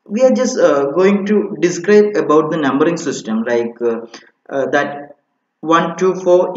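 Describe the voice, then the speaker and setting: A man giving an informal technical tutorial as an instructor, explaining step by step and often checking in with "okay". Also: high-pitched. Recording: muffled, roomy, echoey home recording.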